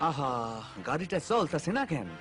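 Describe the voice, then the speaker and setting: A man voicing an excitable, grumpy middle-aged village uncle in a cartoon, with a rural Bangladeshi accent and music behind. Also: on the high side, moderate pace. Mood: panicked. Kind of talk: cartoon voice acting. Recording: music under the voice.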